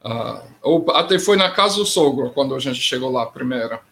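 A middle-aged man speaking Portuguese with a non-native accent, commenting as a guest in a political discussion, plain-spoken with halting grammar. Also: medium pitch, expressive, creaky, fairly bright tone, slight background noise. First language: not Portuguese.

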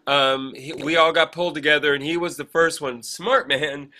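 Bright, forceful-voiced man chatting on a podcast. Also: on the high side, steady tone, normal speed. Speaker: podcast co-host. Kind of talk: casual chat, rambling birthday shout-outs.

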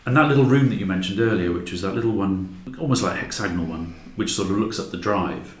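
A man's voice: low-pitched, quick pace. A man who makes audio dramas, chatting casually and anecdotally as a podcast guest. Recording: echoey room.

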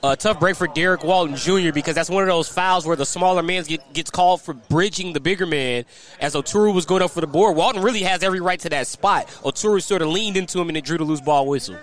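A man's voice: a little high, fast. An American man working as a radio color commentator, explaining and recapping basketball plays in detail. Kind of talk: live basketball analysis. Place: live inside a sports arena.

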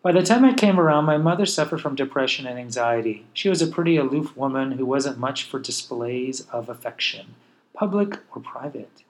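A man's voice: mid-range voice, expressive, moderate pace. A man in his forties, a podcast host, candidly telling his own life story in a wry, self-deprecating way. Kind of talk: personal storytelling monologue.

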